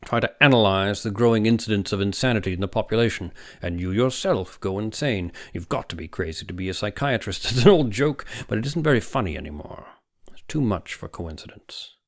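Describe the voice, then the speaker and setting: Man playing an emphatic older doctor, swooping pitch. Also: lively intonation, a little fast. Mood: musing, intense. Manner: emphatic, exclamatory, argumentative. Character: older male doctor, vehement, scheming, condescending psychiatrist. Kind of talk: audiobook character voice.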